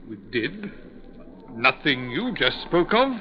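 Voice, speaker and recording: An actor playing a courteous, authoritative sea captain with a probing, slightly halting manner in a 1940s radio drama. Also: male voice, on the high side, very animated. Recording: muffled, faintly noisy 1940s radio broadcast.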